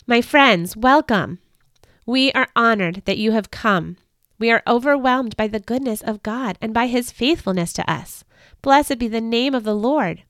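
A gentle, tired young man, as a woman reading a storybook puts him on, earnest and soft-spoken. He is heard close and dry, with no room around him. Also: on the high side, unhurried, dry recording.

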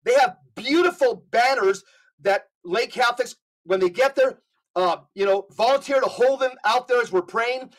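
A man's voice: very high voice, normal speed, poor audio. A man speaking as a podcast guest, giving impassioned religious talk in an emphatic, exhortatory way and reading out quotations.

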